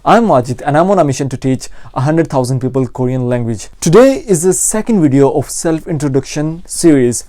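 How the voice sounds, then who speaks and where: Mellow male language tutor with Pakistani accent. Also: fairly high pitch, animated, dry recording, clean studio sound. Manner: explanatory, repeats phrases slowly for learners. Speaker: language teacher and channel host. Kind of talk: informal language lesson.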